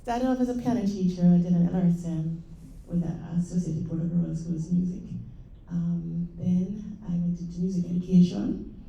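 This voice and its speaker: A woman's voice: on the low side, fairly even intonation, normal speed, dark tone. A middle-aged woman from Jamaica with a Jamaican accent, giving a conversational conference panel talk with fillers. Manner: conversational, with fillers and small laughs. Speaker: panel speaker, assistant professor. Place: conference room. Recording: dull roomy hall sound.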